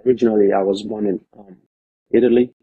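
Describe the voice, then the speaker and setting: A young man from Ghana, a professional soccer player, speaking as a podcast guest over a video call in a measured way, with lots of "you know" and "um". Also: on the low side, fairly even intonation, husky, narrow, tinny audio. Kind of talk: casual interview talk. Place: indoors, bright room at home. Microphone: video call, laptop or phone camera.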